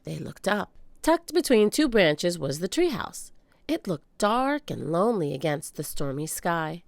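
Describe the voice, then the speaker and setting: A woman reading a children's book aloud as an animated storyteller, with dramatic emphasis. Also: fairly low pitch, measured pace, vocal fry, no room sound. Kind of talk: expressive read-aloud storytelling.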